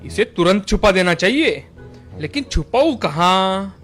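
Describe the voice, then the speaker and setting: A man's voice: high voice, very animated, a little slow, fairly bright tone, faint music behind, full character voice. A man playing an opportunistic village butcher in a cartoon, obliging on the surface but greedy, with exaggerated delivery. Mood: scheming.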